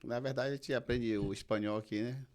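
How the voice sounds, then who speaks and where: A man's voice: mid-range voice, steady tone, brisk, a little mellow. A man with a Brazilian accent, hosting a podcast as a casual, conversational chat. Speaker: podcast host.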